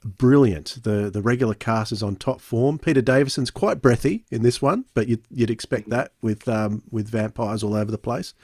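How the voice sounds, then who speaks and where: A man's voice: mid-range voice, moderate pace, few pauses, darkish tone, dry recording, studio quality. A man hosting a podcast, reviewing casually and often doubling back on his words.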